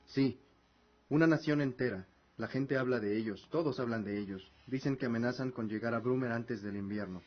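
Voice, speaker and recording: A man's voice: medium pitch, moderate pace, muffled recording, close to an everyday voice. An actor playing a respectful, earnest young heir and officer, loyal to his father, in a fantasy radio drama. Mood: concerned.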